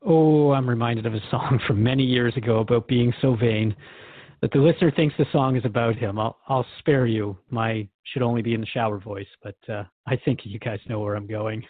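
A man's voice: mid-range voice, fairly even intonation, fairly quick, no room sound, phone-quality sound. A man hosting a conversational radio interview, speaking informally and reflectively, with some hesitancy as he thinks aloud. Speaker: radio show host and interviewer.

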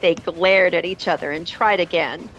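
A woman's voice: on the low side, fairly even intonation, normal speed, fairly bright tone, highs cut off, slight background noise. A woman narrating a scripted fantasy drama.

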